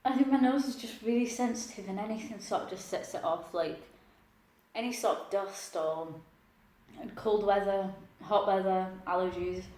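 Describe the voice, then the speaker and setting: A young woman with a sniffly nose talks casually to her camera at home while she eats, chatty and a little self-conscious, with plenty of little laughs. Her voice sits at an ordinary middle pitch, and the room around her rings with noticeable echo. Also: normal speed, darkish tone. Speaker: mukbang host. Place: at home, indoors. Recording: echoey room.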